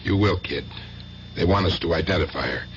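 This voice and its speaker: Deep, steady, protective older male relative, plain-spoken, noisy vintage radio sound. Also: a little fast. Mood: somber. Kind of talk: old-time radio drama acting. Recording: dull, noisy old radio recording.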